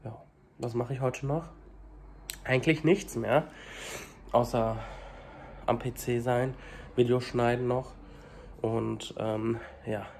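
A young man vlogging casually at home with a cold, talking haltingly with many fillers into a phone held at arm's length. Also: mid-range voice, steady tone, creaky, darkish tone, slight background noise. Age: young adult. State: stuffy with a cold, coughing. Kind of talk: casual daily vlog to camera. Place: small home kitchen and flat indoors. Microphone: handheld phone at arm's length.

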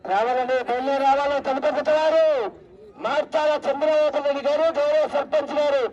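A man commentates on a village race in an extremely high, exclamatory voice. He rouses people with long whoops and cries. The sound is distant and dull, as if over an outdoor loudspeaker. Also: little pitch movement. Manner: exclamatory, rousing, drawn-out whoops. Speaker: announcer at a rural bull race. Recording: muffled outdoor PA sound from far off.

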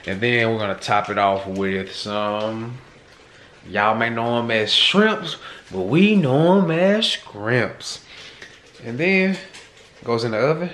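A man's voice: medium pitch, lively intonation, takes it slowly, fairly bright tone, slight background noise. A man in his thirties hosting a casual cooking vlog at home, chatting to viewers in laid-back slang. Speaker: vlog host. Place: home kitchen and living room, indoors. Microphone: handheld camera at arm's length.

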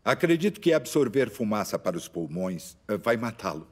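An older speech therapist in a Brazilian-accented film dub. His husky voice moves widely in pitch while staying unflappable. He is informal, wry and gently insistent. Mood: wry, firm. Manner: calm, measured, wry, insistent. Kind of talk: film dubbing in Portuguese.

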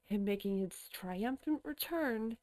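A woman hosting a reaction video. She chats casually, keeps saying "I gotta say," and bursts into loud gasps and cries of alarm. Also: mid-range voice, animated, slow. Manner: chatty, often repeats "I gotta say". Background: music from the clip she is watching.

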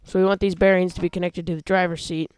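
A man hosting a casual gameplay video, talking through how he builds a vehicle, with excited yelps when he drives it. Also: high voice, quick pace, clean tone. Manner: chatty, explains while building, excited yelps when driving. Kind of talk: casual let's-play commentary.

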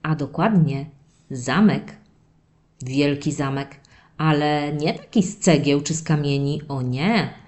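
A woman voicing a playful young male terrier puppy who tells stories from his diary to children in an expressive, dramatic way. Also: low voice. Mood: hushed, confiding. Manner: expressive, drawn-out dramatic telling addressed to children. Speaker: narrator of a children's audio story series. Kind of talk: animated first-person children's storytelling.

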